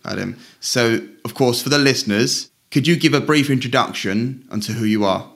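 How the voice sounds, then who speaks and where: A man's voice: mid-range voice, normal speed, a bit bright. A man hosting an interview podcast, delivering introductions and questions to a guest.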